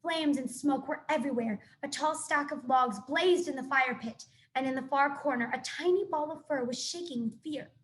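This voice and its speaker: A teacher reading a children's novel aloud to her students in an expressive storytelling manner. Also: high voice, moderate pace, hardly any pauses. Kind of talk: children's book read-aloud.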